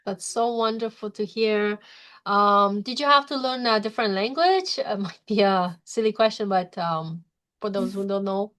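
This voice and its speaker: A woman's voice: mid-range voice, animated, moderate pace, a bit bright. A woman hosting a podcast interview, friendly and encouraging, with frequent fillers. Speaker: podcast host.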